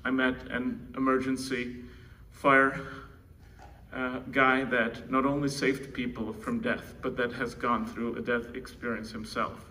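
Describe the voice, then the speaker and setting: Bright-voiced man addressing a conference. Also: medium pitch, flat delivery, measured pace, distant mic. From Czech Republic. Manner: conversational, with restarts and asides to the audience. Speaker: keynote presenter introducing his co-founder. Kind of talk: live conference speech. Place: large conference room. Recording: conference room, speaker away from the mic, faint room noise.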